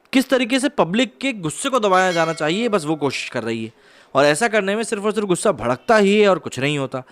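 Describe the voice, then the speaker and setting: Guy doing news commentary online, slightly high voice, explains stuff with chatty asides. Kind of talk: news commentary, partly read from reports.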